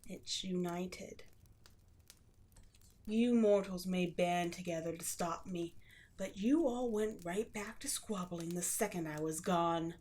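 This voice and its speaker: A woman playing an imperious, seductive demon queen in a roleplay audio drama, slow and theatrical, often slipping into a breathy whisper. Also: on the low side, a little mellow. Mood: haughty, persuasive.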